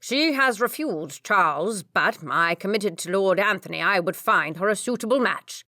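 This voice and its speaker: A woman's voice: medium pitch, lively intonation, moderate pace, bright, forceful tone, no echo, studio quality. A woman voicing a domineering, scheming older nobleman who gives orders in a lazy drawl, in a storybook reading. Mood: commanding.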